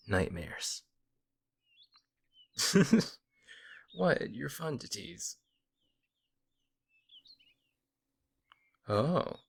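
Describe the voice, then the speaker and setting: A man's voice: lowish voice, creaky, a bit bright, full character voice. A man playing a friendly, teasing, protective werewolf in an ASMR audio roleplay, talking casually and playfully to the listener. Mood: wry, teasing.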